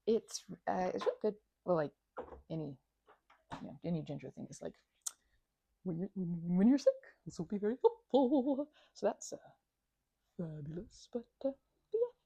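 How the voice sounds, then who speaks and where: A woman's voice: mid-range voice, creaky, dark tone, breathy edge, no echo. A woman doing a casual ASMR roleplay as a chatty, scatterbrained best friend, rambling playfully from one tangent to the next.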